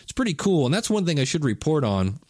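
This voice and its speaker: A man's voice: on the high side, big pitch swings, fast, a little mellow, dry recording, clean studio sound. A man in his fifties hosting a casual solo podcast, talking in a rambling, chatty way and correcting himself as he goes. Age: 50s. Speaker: podcast host.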